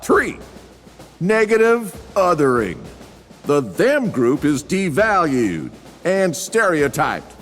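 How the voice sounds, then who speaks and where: Guy doing a shouty drill sergeant, slow and punchy, big swoopy voice, echoey hall w music. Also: on the high side, very animated, some chatter behind. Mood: barking. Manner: commanding, shouted lecture points. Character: barking male drill sergeant lecturing recruits.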